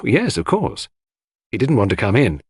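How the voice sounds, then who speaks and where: A man voicing an earnest, loyal gentleman sidekick with a hearty, old-fashioned chummy manner in a mystery audiobook. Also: medium pitch, huge pitch swings, moderate pace, slightly rough, dry recording, studio quality, heavily acted. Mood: candid.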